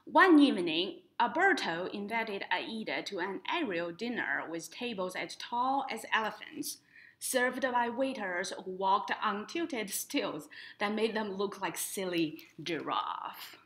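Young female storytime reader, slow and expressive, very animated melody, mid-range pitch, explains as she goes. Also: rough recording. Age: young adult. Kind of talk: children's picture book read-aloud with explanatory asides. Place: indoors in front of a painted woodland mural.

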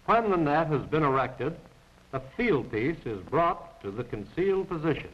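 Old 1940s army training film guy narrating, formal and precise but lively up and down, muffled lo-fi sound. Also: fairly high pitch, normal speed. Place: voice-over recorded apart from the pictures. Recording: dull, band-limited 1940s film soundtrack.

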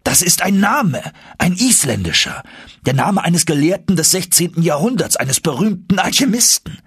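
A man voicing an excitable older professor uncle in a German audiobook, emphatic and commanding. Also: high-pitched, slightly rough, no echo, clean studio sound, voice pushed up, full character voice. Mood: triumphant. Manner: emphatic, triumphant exclamations and brisk orders.

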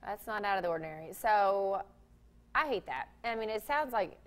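A woman's voice: mid-range voice, animated, normal speed. An American woman in her thirties co-hosting a talk show, chatting casually and agreeably in short reactions and asides. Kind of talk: casual chat, reacting to topics. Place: TV studio.

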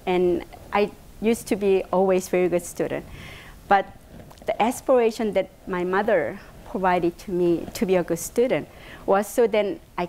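A woman in her fifties from South Korea with a Korean accent, giving a personal talk to an audience in a meeting room, hesitant with many fillers. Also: mid-range voice. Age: 50s. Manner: hesitant, many fillers, animated hand gestures. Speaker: invited speaker. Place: bright meeting room indoors.

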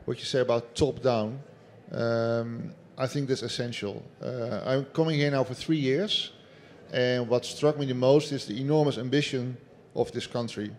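A man from the construction industry speaking on a seminar panel in a large hall, measured and emphatic. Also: medium pitch, steady tone, darkish tone, slight background noise. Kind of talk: panel discussion.

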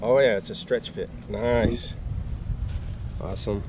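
Guy in his 40s, slow easygoing talk, filler-heavy, outdoor cam audio w/ background noise. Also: fairly low pitch, fairly even intonation. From Arizona, USA. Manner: laid-back, enthusiastic, many fillers. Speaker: fishing vlogger. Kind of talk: casual unboxing vlog. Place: outdoors in the desert by a boat.

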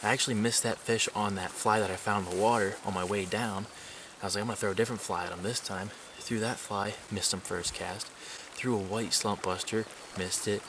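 A young man talking casually and excitedly about fishing, tired after a night without sleep, recorded outdoors at a lakeshore. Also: fairly low pitch, fairly quick. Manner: excited, rambling fishing talk. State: tired from staying up all night. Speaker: fishing vlogger. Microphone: camera on the shore at a distance. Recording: camera mic at a distance, outdoor water noise.

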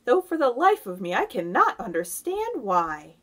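A woman voicing a sociable female ladybug in a storybook, wry and gently chiding. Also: fairly high pitch, huge pitch swings, moderate pace, voice pushed up, exaggerated voice.

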